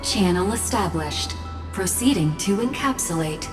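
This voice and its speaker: A woman's voice: fairly low pitch, animated, unhurried, over loud music. A woman voicing an impassive machine system in a game, giving clipped, procedural announcements.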